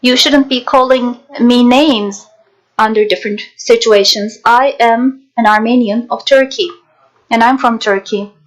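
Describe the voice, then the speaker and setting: A woman narrator and interpreter reading scripted documentary voice-over in a measured, formal way. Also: a little high, fairly even intonation, poor audio.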